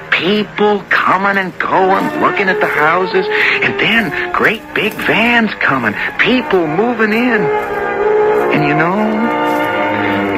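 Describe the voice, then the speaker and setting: Radio play role: stubborn, innocent young boy, slow-paced with a creaky edge, 1970s broadcast sound. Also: a little high, heavily acted, rough recording. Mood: reflective. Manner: earnest, wide-eyed, halting. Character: eager, nature-loving country boy, innocent and stubborn. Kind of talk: 1970s radio drama acting, narrating and dialogue. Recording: old radio recording, music underneath.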